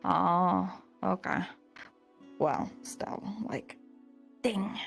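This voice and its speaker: A woman's voice: fairly low pitch, drawn-out words, creaky, soft background music, heavily acted. A woman roleplaying a chatty, silly young fantasy adventurer, talking in a casual, slangy, rambling way. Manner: rambling, giggly, modern slangy speech. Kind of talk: improvised in-character roleplay, casual chat.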